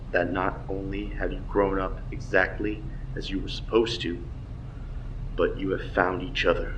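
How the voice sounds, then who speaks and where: A man playing a solemn father in his thirties, speaking measured words in a recorded message in an audio drama. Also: sits low. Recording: distant, noisy recording.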